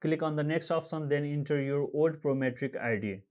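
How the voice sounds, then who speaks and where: A man from Nepal walking viewers through an online form step by step, pausing often with uh and um as he reads out codes. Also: fairly high pitch, darkish tone. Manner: halting, frequent uh and um fillers, reads out codes slowly. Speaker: tutorial presenter. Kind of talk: screen-recorded how-to walkthrough.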